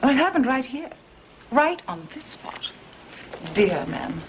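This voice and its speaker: A slow musing gossip. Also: female voice, high-pitched, big pitch swings, a little hiss or hum, low-quality recording.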